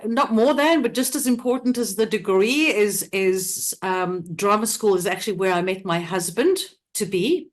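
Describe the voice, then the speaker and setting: A middle-aged woman from South Africa, talking casually as an interview guest, chatty and quick to laugh, with many restarts and fillers. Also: medium pitch. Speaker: interview guest, theatre company director.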